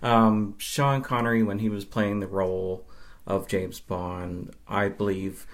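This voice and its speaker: A man with a fairly low voice talks as a guest on a podcast. He explains things conversationally, in measured phrases broken by pauses. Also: flat delivery, slight background noise. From Nova Scotia, Canada. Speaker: podcast guest, film fan. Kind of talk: conversational interview answers.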